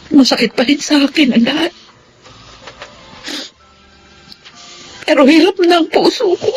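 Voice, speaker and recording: A woman with a Filipino accent playing a grieving, earnest elder sister in a radio drama, speaking haltingly and tearfully. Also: sits high, darkish tone, rough recording. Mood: pained, forgiving. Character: grieving eldest sister, bereaved mother, pleading and forgiving.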